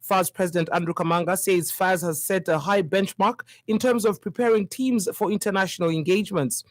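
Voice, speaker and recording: A woman's voice: fairly low pitch, fairly quick, no echo, clean studio sound. A woman with a Zambian accent presenting a scripted radio news bulletin.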